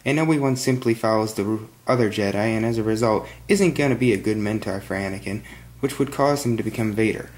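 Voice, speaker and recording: A young man reading a film review in a flat, monotone manner, recorded on a webcam in a small bedroom. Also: on the low side, quick pace. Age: young adult. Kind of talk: read-out film review monologue. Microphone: webcam at desk.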